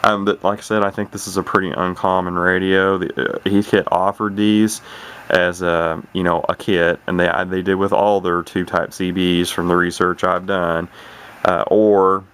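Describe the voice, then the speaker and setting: A man giving a casual, unscripted hobbyist demonstration in a rambling, halting way. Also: deep voice, level tone, a bit bright, clear, resonant tone. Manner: rambling, halting, hesitant delivery. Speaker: radio hobbyist presenting a set.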